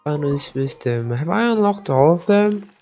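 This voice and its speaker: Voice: mid-range voice, expressive, normal speed, warm tone, faint music behind. A video game streamer giving casual gameplay commentary, thinking aloud and muttering with the odd swear word.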